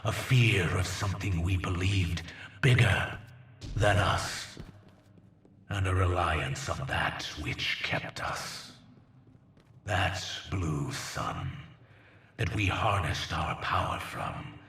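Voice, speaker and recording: A man playing a proud, menacing alien conqueror in a video game, speaking in a grandiose, theatrical, deliberate way with hushed emphasis. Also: deep voice, expressive, creaky, roomy sound, distant mic, a little hiss or hum, exaggerated voice. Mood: grandiose, brooding. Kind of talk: dramatic video game villain monologue.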